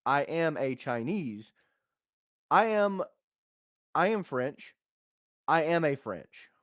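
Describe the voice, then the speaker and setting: A man's voice: fairly high pitch, very animated, slow, fairly bright tone, no room sound, studio quality. An American man co-hosting a livestream podcast, joking and rambling in casual unscripted talk, often repeating himself. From United States.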